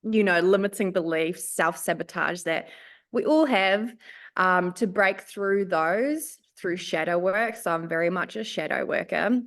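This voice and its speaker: A woman's voice: on the low side, unhurried, a bit bright. A woman raised in Finland with a New Zealand accent, whose first languages are Swedish and Finnish, talking chattily as an interview guest with frequent ums and laughs. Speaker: guest, spiritual life coach. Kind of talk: casual podcast interview.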